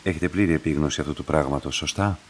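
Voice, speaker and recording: An actor playing a courteous, enigmatic laboratory director in a radio drama, speaking formally and in measured phrases. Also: male voice, a bass voice. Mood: calm, formal.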